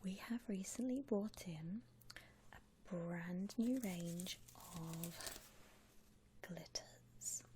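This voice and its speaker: A woman whispering softly and breathily in an attentive, gentle customer-service ASMR roleplay, close to the microphone. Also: lowish voice, extremely slow, pauses often, slight background noise. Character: polite, attentive female vampire coffin-shop consultant. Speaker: ASMR roleplay performer, playing a shop consultant.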